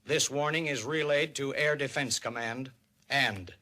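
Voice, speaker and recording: An American man narrating a 1950s radio civil defense documentary in a grave, emphatic, deliberate manner. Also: medium pitch, sharp tone. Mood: grave, urgent. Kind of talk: 1950s radio documentary narration, dramatic public-service announcing. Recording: vintage 1950s radio recording.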